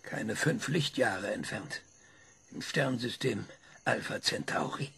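An actor playing a sardonic former researcher in a radio play, lecturing with impatient insistence. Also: male voice, lowish voice, lively intonation, slow pace, vocal fry, fairly bright tone, husky, heavily acted. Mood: insistent. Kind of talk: German radio play acting.

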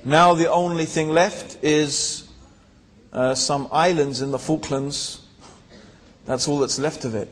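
A man tells his own story to a seated crowd in a large hall, with fillers and rhetorical asides along the way. His voice sits somewhat high for a man. A handheld mic picks him up, and the big room adds a long reverb tail. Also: fairly even intonation, slow. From born in Tanzania, raised in England. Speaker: speaker. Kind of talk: personal story talk to an audience. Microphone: handheld microphone.

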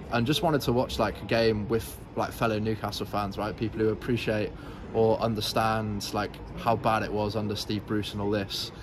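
A young man from North East England answering a street interview as a football fan, hesitant, with many "um"s and "like"s. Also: fairly low pitch. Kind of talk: street vox pop. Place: city street outdoors. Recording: noisy street background.

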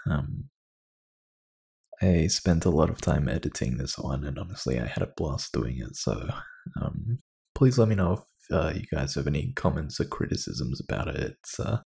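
Male channel host, very husky and mellow, lively intonation, off-the-cuff casual chat with listeners. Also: a bass voice, moderate pace.

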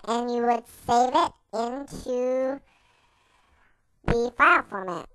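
A young man explaining a software tutorial step by step, his voice run through a pitch shift effect that raises it into a chipmunk sound. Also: clear, resonant tone, dry recording, heavily acted, poor audio. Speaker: video tutorial host. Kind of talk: screen-recorded software tutorial.

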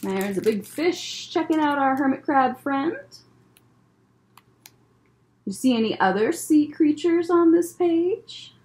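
A woman's voice: sits high, lively intonation, slow pace, long pauses, a little mellow. A young adult woman hosting a children's story time, explaining things simply as she chats and reads aloud. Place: library room with bookshelves, indoors. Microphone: webcam or laptop at desk distance.

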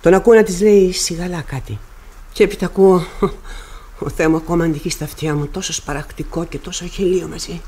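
A woman's voice: fairly low pitch, dark tone, slightly rough. An actress playing a proud middle-aged widow and mother in Greek radio theatre, speaking formally, intensely and dramatically. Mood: anguished, intense.

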